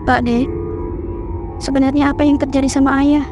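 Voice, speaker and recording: A woman's voice: high voice, level tone, normal speed, close to an everyday voice. A woman voicing a young village woman in a horror cartoon who keeps asking earnest, insistent questions. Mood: determined. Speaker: voice actor. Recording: faint music behind voice.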